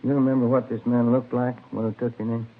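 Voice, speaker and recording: An actor playing a plainspoken, easygoing American police detective in a 1950s radio crime drama, casual and methodical. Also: male voice, medium pitch, flat delivery, fast, close to an everyday voice. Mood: plain, inquiring. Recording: thin, phone-like vintage radio recording.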